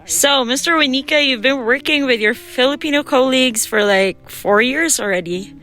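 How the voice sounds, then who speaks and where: Bright, forceful woman interviewer over faint music. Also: high-pitched, moderate pace. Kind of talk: interview questions.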